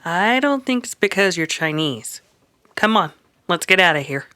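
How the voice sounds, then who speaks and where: A woman's voice: fairly low pitch, lively intonation, moderate pace, bright, forceful tone, clean tone, no echo. An actress playing a plucky, practical saloon woman in an Old West radio drama, plainspoken and folksy. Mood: concerned, urgent. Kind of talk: old-time radio western acting.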